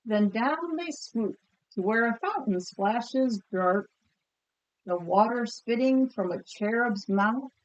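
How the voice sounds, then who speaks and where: A woman teacher reading a picture book aloud expressively and pausing to ask listeners questions. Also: on the high side, animated, lo-fi sound. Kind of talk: storybook read-aloud with comprehension questions.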